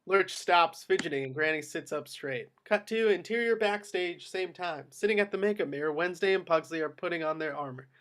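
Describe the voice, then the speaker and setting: A man's voice: a little high, fairly quick, few pauses, fairly bright tone. A man narrating scene headings and stage directions for a script table read.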